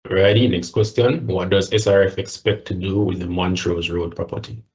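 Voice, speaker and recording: A man's voice: low voice, moderate pace, darkish tone, lo-fi sound. A man acting as moderator on an earnings call, reading out questions in turn.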